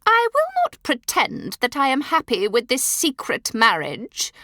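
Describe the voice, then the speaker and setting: A woman's voice: mid-range voice, very animated, takes it slowly, bright, forceful tone, a little hiss or hum, full character voice. A woman reading the part of a haughty, imperious old dowager duchess with a cold, cutting manner.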